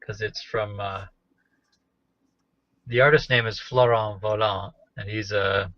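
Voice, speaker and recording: A man's voice: on the low side, fairly even intonation, slow pace, fairly bright tone, dry recording. A man chatting casually and wryly as a podcast co-host, telling anecdotes. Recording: dull, lo-fi captured call audio.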